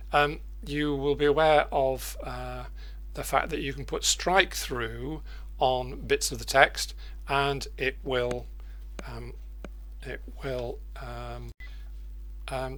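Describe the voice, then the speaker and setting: Hesitant older man giving a software tutorial. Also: medium pitch. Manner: hesitant, thinking aloud while demonstrating. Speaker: presenter of editing macro tutorials. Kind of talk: informal screencast software tutorial.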